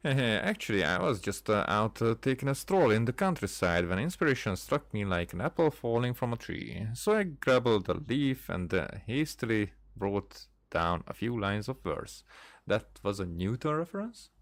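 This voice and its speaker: A man's voice: lowish voice, sing-song, normal speed, no echo. A man hosting a casual game playthrough, commentating and reading dialogue aloud in a hesitant, stumbling way. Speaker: gaming video host.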